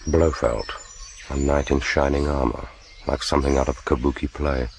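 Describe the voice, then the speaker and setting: An actor in a radio drama playing a grim, vengeful spy in brooding, sardonic inner monologue. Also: male voice, rumbling low, fairly even intonation, normal speed, gravelly. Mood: cold contempt. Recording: noisy radio drama recording.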